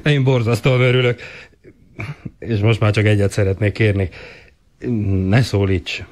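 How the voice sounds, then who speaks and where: An actor as a low-voiced, courting police sergeant. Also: male voice, darkish tone, natural voice. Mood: relieved, kindly. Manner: hesitant, courteous, fatherly. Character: courting police sergeant, adult man, earnest and awkward. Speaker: actor in a radio play. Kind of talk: 1980 radio drama acting.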